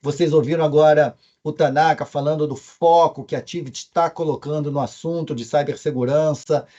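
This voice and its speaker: A man with a marked Carioca accent gives event remarks from abroad over a video call. His voice is mellow, and he pauses often on fillers. The audio is dull and lo-fi. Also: a little high, steady tone. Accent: Carioca Portuguese. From Brazil. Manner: hesitant, with frequent fillers. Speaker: company co-founder speaking remotely. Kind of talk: presentation remarks.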